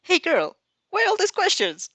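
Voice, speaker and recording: A woman's voice: very high voice, wildly swooping pitch, normal speed, fairly bright tone, no echo, pitched above the performer's own voice, full character voice. A woman voicing a cheeky, teasing male shadow creature in a chirpy, playful way for a story reading.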